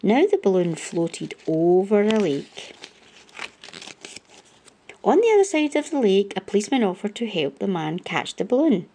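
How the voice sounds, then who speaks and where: A woman reading a children's storybook aloud as an expressive narrator. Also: mid-range voice, moderate pace, slight background noise.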